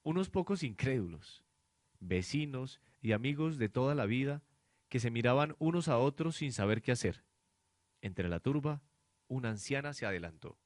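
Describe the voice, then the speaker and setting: A man's voice: mid-range voice, animated, moderate pace, no room sound, clean studio sound. A man narrating a radio drama in a scripted, dramatic storytelling style.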